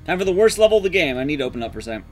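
Animated, higher-voiced man streaming a game. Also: very fast, faint music behind. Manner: sweary, playful, reacting to play. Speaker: speedrunner streamer. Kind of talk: casual live game commentary. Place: indoor room at home. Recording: clear background noise, clicks.

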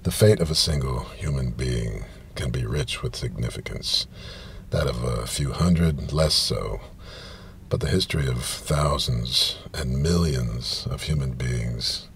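Male reader, 50s, extremely low and creaky, deliberate halting delivery of literary prose, light ambient noise inside a car. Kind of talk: literary reading of science fiction prose. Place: inside a parked car, small space.

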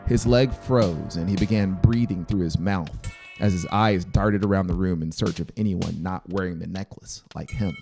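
A low-voiced man reads a story with measured, expressive delivery. His voice has a gravelly edge, and music plays clearly behind him as he speaks close to the microphone. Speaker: audiobook narrator. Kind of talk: scripted dramatic fiction narration. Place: small brick-walled home studio. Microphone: close handheld-style mic on boom stand.

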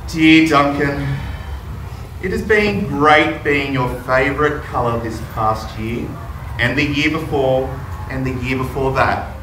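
A man's voice: mid-range voice, slow pace, a bit bright. A man voicing a loyal, worn-out little blue crayon in a storybook read-aloud, appreciative but weary. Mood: fond, weary. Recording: distant, roomy classroom with noise.

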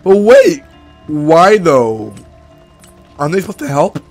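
A man with a sore throat from being sick, giving excitable, rambling let's-play commentary. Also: a little high, slow pace, creaky, soft background music. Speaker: gameplay commentator.

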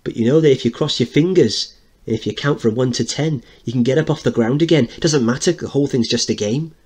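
Man acting children's chorus, playful, rhythmic chant, husky, stage play reading. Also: mid-range voice, fast, darkish tone, pitched above the performer's own voice, close to an everyday voice.

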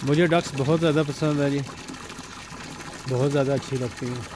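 A man casually narrating a village vlog about his ducks, in a relaxed, chatty way. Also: mid-range voice, a little fast, background noise. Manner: relaxed, chatty, says "ji" often. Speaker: vlogger. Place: outdoor village yard with ducks.

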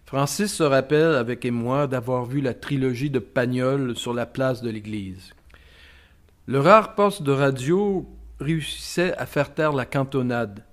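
A man reading a scripted documentary narration in a steady, even way. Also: medium pitch.

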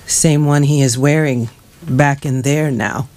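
A man performing a literary monologue in short halting phrases at a live bookshop event. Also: medium pitch, fairly even intonation, a little mellow. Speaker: reader in a staged book reading. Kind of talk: dramatic monologue read aloud. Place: bookshop event before a seated audience.

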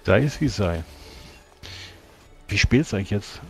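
A man's voice: fairly low pitch, expressive, moderate pace, darkish tone, soft background music. A man improvising in-character dialogue as a player in a tabletop role-playing game.

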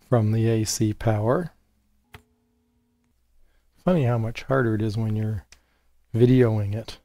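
A hoarse man in his fifties explaining a transformer experiment step by step while he works at his bench. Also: medium pitch, mellow, no echo. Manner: unhurried, explanatory, thinking aloud while working. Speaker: electronics educator. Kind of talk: hands-on technical demonstration. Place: home studio with monitors and workbench.

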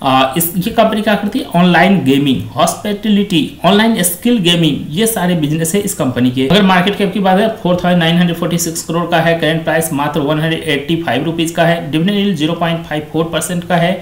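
High-voiced man reviewing stocks, echoey room. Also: fast, hardly any pauses. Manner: conversational, repeats stock phrases like "quite excellent". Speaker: stock market video host. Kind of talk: screen-share stock review.

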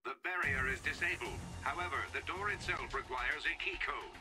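Robot tech adviser: slightly high, precise, informative, game briefing over music. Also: male voice, normal speed, sharp tone. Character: helpful, matter-of-fact male robot analyst. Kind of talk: video game mission briefing.

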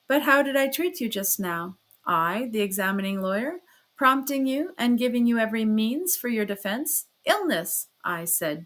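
A woman's voice: on the high side, a bit bright. A woman voicing a sly male examining lawyer in an audiobook, with an ironic, needling, falsely good-humored manner. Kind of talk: dramatized audiobook character reading.

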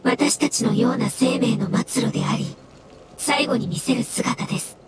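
A woman voicing a gentle, polite elemental creature in a video game, speaking in measured, formal phrases. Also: on the high side, heavy background noise, lightly acted. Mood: wistful, sincere. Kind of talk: scripted game dialogue.

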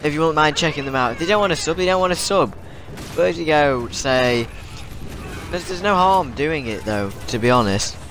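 Teen gamer with a cold. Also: male voice, fairly high pitch, expressive, normal speed, fairly bright tone, slight background noise. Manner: rambling, repeats himself, frequent restarts. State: has a cold. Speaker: gaming YouTuber. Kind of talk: casual vlog commentary over gameplay.

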